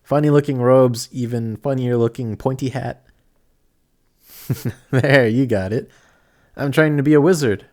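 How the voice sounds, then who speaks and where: A young man playing a kindhearted apprentice sorcerer in an audio roleplay, chatty, excitable and easily flustered, with some stammering. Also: mid-range voice, unhurried, pauses often, close to an everyday voice. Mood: friendly, proud. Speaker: voice actor. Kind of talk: amateur audio roleplay acting addressed to the listener.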